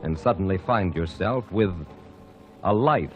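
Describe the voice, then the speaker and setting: Male vintage radio announcer, portentous and deliberate; muffled sound, faint organ behind. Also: deep voice, animated. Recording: dull old radio broadcast with organ.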